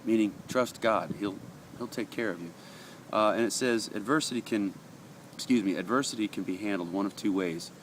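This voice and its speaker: A man's voice: fairly low pitch, moderate pace, a little hiss or hum. A man giving an earnest, conversational daily devotional, reading passages aloud and adding his own thoughts, sniffly from allergies. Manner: earnest, conversational, with frequent uh fillers and restarts.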